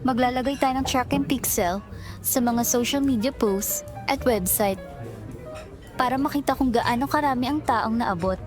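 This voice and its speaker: A woman's voice: fairly high pitch, normal speed, music in the background, natural voice. A woman playing an eager young employee with a Filipino accent in a romantic audio drama, polite to her boss and secretly smitten. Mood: earnest, professional. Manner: polite and deferential, with flustered girlish asides. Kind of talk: romantic audio drama acting, Tagalog-English mix.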